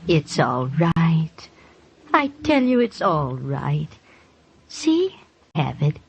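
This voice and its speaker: A woman's voice: deep voice, sing-song, drawn-out words, vocal fry, a little mellow, slight background noise, full character voice. A woman acting a weary, long-married wife in an old radio drama, soothing and resigned. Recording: dull, lo-fi old radio recording.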